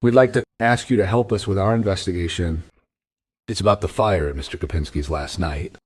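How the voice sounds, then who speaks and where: Deep, husky police inspector. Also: male voice, no echo. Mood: probing. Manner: calm, measured questioning. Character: measured, probing male police detective inspector. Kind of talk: crime radio play acting.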